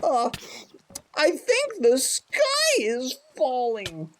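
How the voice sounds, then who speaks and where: A woman voicing a melodramatic male rooster who stammers in a high voice. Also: huge pitch swings, extremely slow, background noise, full character voice. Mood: dramatic.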